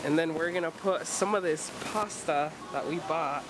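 A man's voice: fairly high pitch, moderate pace, background noise. A man in his thirties vlogging casually into a handheld camera at arm's length, upbeat and chatty, narrating his plans as he moves around outdoors, on a ferry, in a car and in shops. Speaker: travel vlogger. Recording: loud background music.

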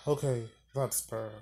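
A man's voice: mid-range voice, dark tone, slightly rough. A man giving casual let's-play commentary, thinking aloud, muttering and sighing as he plays. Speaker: gaming channel host. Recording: faint game music behind.

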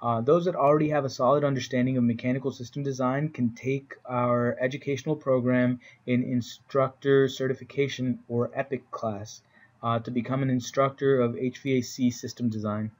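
A man's voice: mid-range voice, little pitch movement, moderate pace. An American man giving an explanatory slide presentation as an instructor, in plain, informal phrasing. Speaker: instructor presenting training for code officials. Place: voice-over recorded for a slide presentation.

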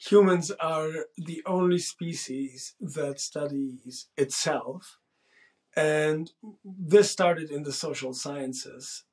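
A man's voice: fairly high pitch, takes it slowly, a little mellow. A man in his forties, the host, talking thoughtfully to camera from a small room, often restarting his sentences. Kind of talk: casual monologue to camera.

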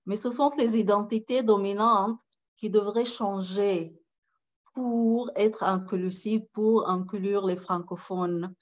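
A woman's voice: mid-range voice, fairly even intonation, slow, muffled recording. A middle-aged woman from Sudan speaking as a guest on a video call, emphatic and repeating her key phrases. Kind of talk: conference talk. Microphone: webcam on a video call.

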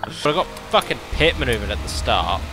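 A man's voice: mid-range voice, very animated, a little fast, low-quality recording. A man in casual, sweary banter with crude jokes while playing an online racing game. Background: music and engine noise.

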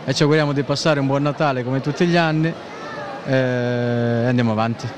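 A man of medium pitch answers an interviewer in a casual way. He speaks into a handheld microphone inside a shopping mall, with clear noise around him. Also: steady tone, a little fast. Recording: noisy shopping mall ambience.

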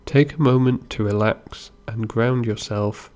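A man gently guiding a meditation as an instructor, in an unhurried way with pauses between instructions. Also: mid-range voice, steady tone. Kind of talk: guided body scan meditation narration.

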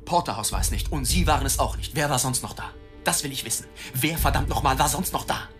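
A man acts a relentless, foul-mouthed reporter in a radio drama. He pushes bluntly and impatiently in a mid-pitched voice, over a music bed. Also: fast talker, a bit bright, close to an everyday voice. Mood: pressing, insistent. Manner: blunt, pressing, profane. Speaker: actor. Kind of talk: German radio play acting.

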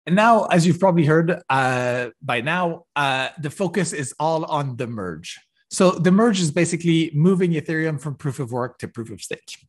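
A man giving a technical keynote over a video call, halting with many fillers and restarts. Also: fairly high pitch, lively intonation. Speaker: conference keynote speaker. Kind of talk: technical conference talk. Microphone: video call webcam.

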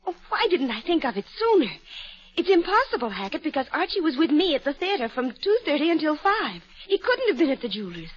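A woman's voice: high-pitched, big pitch swings, fast talker. An actress playing a distraught young woman who uncovers a murderer in a 1940s radio drama. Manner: emotional, urgent, breathless pleas. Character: distraught young woman, heiress accusing killer. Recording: dull old radio with music.

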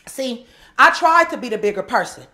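A woman's voice: on the high side, lively intonation, normal speed, bright, forceful tone. An actress playing an exasperated young girlfriend in a naturalistic comedy skit, with an American accent. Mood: furious. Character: exasperated, fed-up young woman arguing with her boyfriend. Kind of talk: comedy skit acting, naturalistic couple banter.

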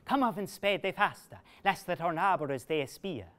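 A man's voice: sits high, animated, moderate pace. A man voicing a scornful teasing young wife. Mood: hurried. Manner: loud, mocking scolding.

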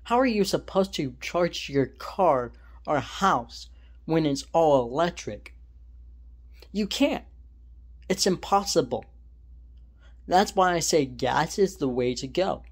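A man's voice: a little high, animated, slow, long pauses. A young man from California giving his opinions in a casual, rambling monologue, often stopping to correct himself, filmed on a phone held at arm's length in a small room at home. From California, USA. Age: young adult. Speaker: vlogger. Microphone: phone held at arm's length, selfie framing.

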